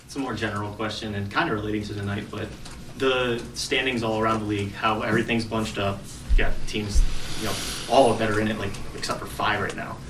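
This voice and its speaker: A man's voice: lowish voice, little pitch movement, a little fast, reverberant, far from the mic, noisy background. A man, a reporter asking a rambling, hesitant question at a press conference.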